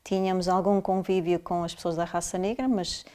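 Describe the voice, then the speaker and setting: Middle-aged woman doctor born in south africa, lowish voice, talks things through with lots of fillers and restarts. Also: normal speed. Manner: frequent fillers and restarts, steady explanatory tone. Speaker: family doctor. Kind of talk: interview answers, recounting experiences.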